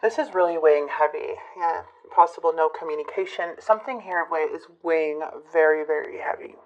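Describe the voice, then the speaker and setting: Low-voiced woman reading tarot, hoarse. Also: a little fast, muffled recording, slight background noise. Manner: musing, hesitant, talks straight to viewers. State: hoarse after an illness. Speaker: tarot reader. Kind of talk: casual tarot reading to camera.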